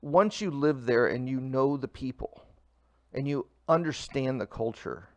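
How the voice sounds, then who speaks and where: A man in his fifties who runs a food truck, talking casually in an interview, relaxed and rambling with fillers. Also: mid-range voice, a little slow, dry recording.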